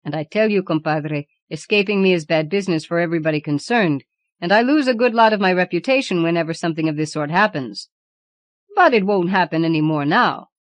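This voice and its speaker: A woman's voice: lowish voice, brisk, no echo, lightly acted. A woman voicing Death as a male skeleton in a storybook, garrulous, boastful and formal in his storytelling. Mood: boastful, chatty.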